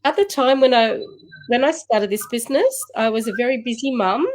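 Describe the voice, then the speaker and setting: A woman in her fifties with an Australian accent, a grandmother telling her story as an interview guest, steady with occasional fillers. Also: fairly high pitch, brisk. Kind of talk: conversational storytelling.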